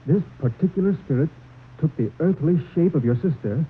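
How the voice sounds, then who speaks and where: An actor playing an earnest believer in the supernatural in an old-time radio drama, explaining things deliberately. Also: male voice, on the high side, animated, natural voice. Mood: earnest, insistent. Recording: muffled tinny old radio recording, faint noise.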